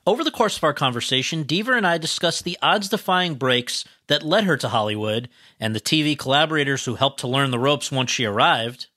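A man's voice: mid-range voice, pushed and bright, no echo, studio quality. A man hosting an awards podcast, reading a formal introduction and then asking interview questions. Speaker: podcast host.

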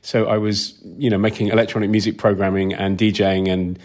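Male interview guest, fairly low voice, reflective and informal, feeling his way through answers. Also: flat delivery, fairly quick, darkish tone. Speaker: film sound designer being interviewed.

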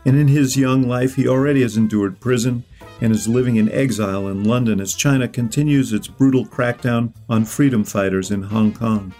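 An American man hosting an interview podcast, speaking in a measured, earnest way. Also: mid-range voice, dark tone, soft background music. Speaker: podcast host.

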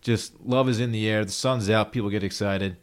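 A man's voice: fairly low pitch, brisk, smooth. A man hosting a casual podcast, telling stories with eager, jokey energy. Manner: animated storyteller, eager and jokey. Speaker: podcast host.

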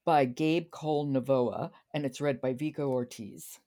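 A woman's voice: extremely low pitch, steady tone, unhurried, mellow. A woman hosting a book podcast in a conversational interview style. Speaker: podcast host.

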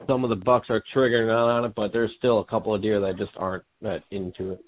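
A man from Wisconsin on a hunting radio show, describing the deer season in a measured way. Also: lowish voice, level tone, phone-quality sound.

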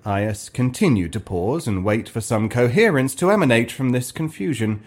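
A man narrating a scripted comic fantasy story in a dry, deliberate way. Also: medium pitch, expressive, breathy edge.

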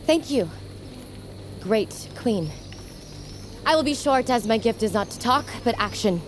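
An actress playing a bold, defiant huntress, speaking in blunt, forceful declarations in an audio drama. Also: female voice, a little high, expressive, a little slow, a little hiss or hum. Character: bold, defiant young huntress challenging for power. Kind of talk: dramatic audio fiction acting. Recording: music behind the voice.

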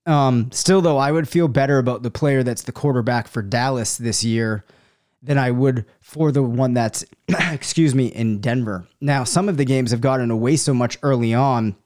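A man hosting a sports podcast solo, slightly hoarse from a cold. He thinks out loud as he answers listener questions, filling pauses with ums and uhs and hedging his picks. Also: mid-range voice. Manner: thinks aloud, many fillers and hedges. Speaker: fantasy football podcast host.

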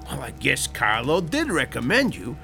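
An actor playing a blunt, impatient 1930s mob boss with a gravelly voice. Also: male voice, medium pitch, wildly swooping pitch, moderate pace, pushed and bright, faint music behind, heavily acted. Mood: skeptical.

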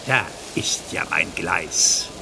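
A man's voice: deep voice, lively intonation, takes it slowly, creaky, noisy background, heavily acted. An actor playing a quaint, proud old grandfather steam engine with courtly, old-fashioned exclamations in a children's audio drama. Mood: proud, blustering.

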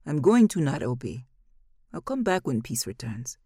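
A woman's voice: a bass voice, sing-song, normal speed, dark tone, breathy edge, no echo, clean studio sound, heavily acted. A woman voicing a dejected man parting from his dear friends in an audiobook, sad and plain-spoken. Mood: sad, resolved. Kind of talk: audiobook character dialogue.

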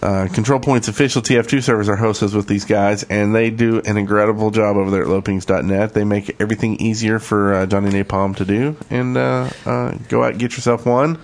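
A man's voice: lowish voice, fairly even intonation, a little fast, hardly any pauses. A man co-hosting a gaming podcast, talking casually. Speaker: podcast co-host.

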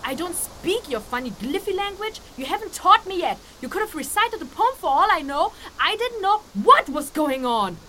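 A woman's voice: extremely high pitch, very animated, normal speed, hardly any pauses, sharp tone, slight background noise, full character voice. A woman playing a sarcastic, exasperated young female outsider in a fantasy audio drama. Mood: sarcastic, outraged.

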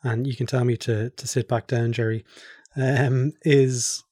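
Male podcast host, soft-edged mellow tone, very dry recording, conversational and tentative when asking. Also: mid-range voice, level tone, a little slow, dry recording. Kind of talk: casual interview conversation.

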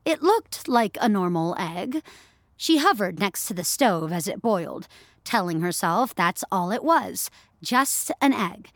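A woman's voice: mid-range voice, no room sound, clean studio sound. A woman narrator reading scripted audio fiction as a storyteller.

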